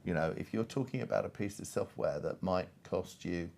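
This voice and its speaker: A man with a distinctly deep voice talks as a guest on a panel. He is candid and emphatic in a conversational way, over faint background noise. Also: a little fast, creaky, darkish tone. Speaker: panel guest, software advocate.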